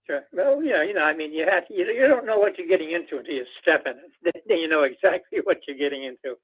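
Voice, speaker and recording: Tinny phone line, quick higher-voiced male caller. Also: lively intonation, breathy edge. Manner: rambling, folksy, leans on filler phrases. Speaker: caller to a radio show. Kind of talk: casual political phone-in chat. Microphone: telephone.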